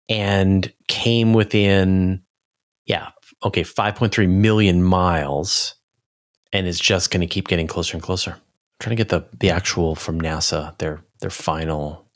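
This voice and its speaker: Low-voiced man explaining things in conversation. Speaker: interview guest, space commentator. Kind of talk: casual explanatory interview talk.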